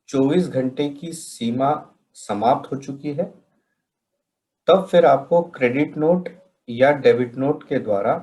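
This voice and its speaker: A man from India presenting a question-and-answer tutorial in a deliberate way, pausing between phrases. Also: mid-range voice, darkish tone, rough recording. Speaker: presenter of a software training session.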